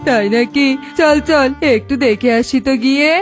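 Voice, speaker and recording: A scheming, greedy forest bird, played by a woman in a children's cartoon. The voice sits high, with clear music playing underneath. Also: normal speed, lightly acted.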